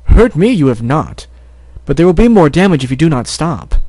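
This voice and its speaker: A man voicing a wise female zebra who speaks slowly and in rhymes. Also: on the high side, big pitch swings, warm tone, no echo, pitched above the performer's own voice. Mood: calm. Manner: measured, rhyming, slow.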